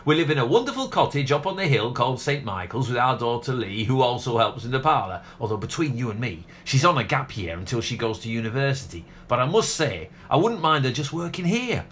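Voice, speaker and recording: Animated chatty Yorkshire ice cream man, acted by a man. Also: mid-range voice, fairly quick, few pauses, a little hiss or hum. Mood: chatty, proud. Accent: South Yorkshire. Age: middle-aged. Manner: garrulous, rambling, cheerfully talks over others. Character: chatty, proud middle-aged seaside ice cream parlour owner. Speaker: actor. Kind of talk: family comedy radio play acting.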